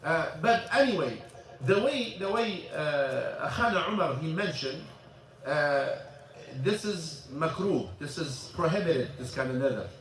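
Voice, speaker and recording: High-voiced imam teaching in an echoey mosque. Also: male voice, expressive, a bit bright. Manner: halting, repeats words, switches into Arabic. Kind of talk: informal religious lesson with questions. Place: mosque classroom. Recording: echoey room, mic at a distance.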